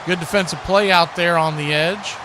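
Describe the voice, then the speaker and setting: Male American play-by-play commentator, high voice, folksy and conversational, football livestream with clear background noise. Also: steady tone, normal speed.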